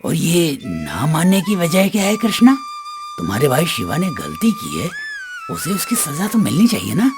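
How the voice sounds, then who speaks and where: Man acting a stern, commanding village headman, highly animated. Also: moderate pace. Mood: indignant, challenging. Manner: authoritative, declamatory. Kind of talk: Hindi cartoon moral story dub. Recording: background music under the voice.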